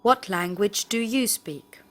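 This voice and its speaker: A woman's voice: mid-range voice, slow pace. A woman in the role of a language teacher, reading scripted questions with careful phrasing. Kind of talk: scripted question drill, read aloud.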